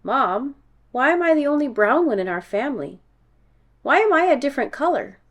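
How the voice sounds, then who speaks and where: Woman voicing an earnest, expressive young schoolboy. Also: high-pitched, normal speed, voice pushed up. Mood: puzzled.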